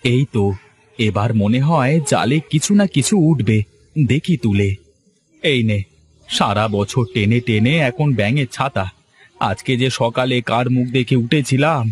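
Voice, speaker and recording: A man's voice: mid-range voice, measured pace, lightly acted. A man playing a plaintive, luckless fisherman. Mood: grumbling. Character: poor, unlucky, impatient fisherman husband, self-pitying. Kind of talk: Bengali cartoon story voice acting.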